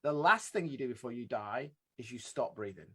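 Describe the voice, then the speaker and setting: A man hosting a podcast, talking in an earnest, quick way and cutting in with his own thoughts. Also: mid-range voice, animated, bright, forceful tone, breathy edge. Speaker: podcast host. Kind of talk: podcast interview.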